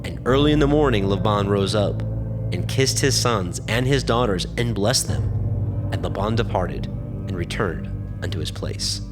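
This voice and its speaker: A man narrating scripture from a script in a formal, measured way. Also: on the low side, expressive, loud music behind the voice.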